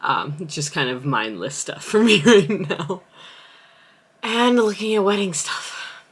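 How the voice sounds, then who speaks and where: A woman's voice: fairly low pitch, expressive, measured pace, slight background noise. A young adult woman, an aspiring author, chatting casually at home in a vlog, rambling and correcting herself as she thinks aloud. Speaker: aspiring author vlogging her writing.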